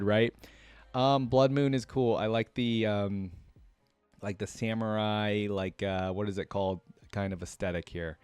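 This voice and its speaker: A man's voice: medium pitch, clear, resonant tone, no room sound. A man hosting a reaction video, giving casual commentary in an informal way, thinking aloud and often indecisive.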